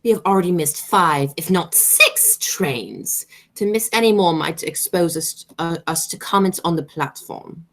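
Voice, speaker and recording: A woman playing an imperious, pompous aristocratic matron in an amateur radio play, speaking in a formal, deliberate way. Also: on the low side, animated, fairly bright tone, heavily acted. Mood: stern disapproval. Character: haughty, imperious aristocratic matron Lady Bracknell, coolly disapproving.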